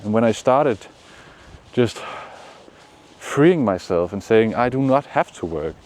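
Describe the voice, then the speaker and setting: A man from Denmark with a Danish accent, giving calm, encouraging motivational talk outdoors in the shade, with frequent pauses. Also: on the low side. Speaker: manifestation coach. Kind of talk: casual motivational vlog talk.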